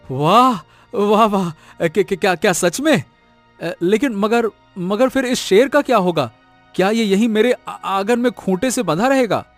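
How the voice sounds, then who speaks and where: A man's voice: high voice, expressive, moderate pace, faint music behind. A man playing a hot-tempered, blustering village donkey owner who turns cowardly when scared, in a moral-story cartoon. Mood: amazed, flustered. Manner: blustering, scolding. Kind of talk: animated Hindi moral-story dramatization.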